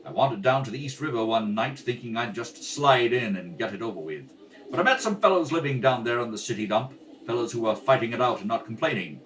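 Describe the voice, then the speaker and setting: A man plays a gentlemanly butler from a 1930s radio comedy. He speaks politely and with measured care, his pitch moving freely. It is a home recording, slightly distant, with faint noise. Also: lively intonation, natural voice. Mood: rueful, earnest. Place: kitchen table at home.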